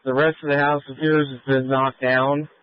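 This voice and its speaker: A man's voice: mid-range voice, level tone, moderate pace, clean tone, no echo. A man working as a fire operations officer, talking in clipped procedural phrases over a two-way radio during an emergency. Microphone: radio handset. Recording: tinny narrowband fire radio transmission.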